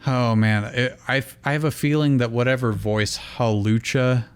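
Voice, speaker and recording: A man's voice: mid-range voice, moderate pace, clean tone. A man narrating fan fiction as a comedic host, dropping into casual asides. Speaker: reader, host.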